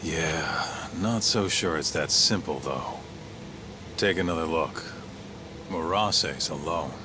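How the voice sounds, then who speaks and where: A man's voice: extremely low pitch, slow pace. A man playing a streetwise, laid-back sidekick in a video game, speaking in a breezy, casual way. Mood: easygoing. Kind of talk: naturalistic video game dialogue. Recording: clear city street noise behind.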